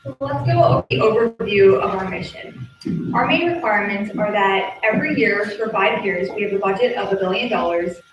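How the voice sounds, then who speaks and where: A woman's voice: mid-range voice, brisk. A young woman from Texas giving a prepared team presentation as a student. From Texas, United States. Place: large echoey indoor room. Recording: echoey hall from far off, noisy.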